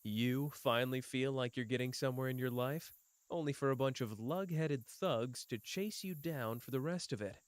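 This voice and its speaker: A man's voice: mid-range voice, normal speed, dry recording, lightly acted. A man voicing a teasing, world-weary young man. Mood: bleak. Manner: soft, teasing, drifting between playful and bleak. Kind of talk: ASMR roleplay.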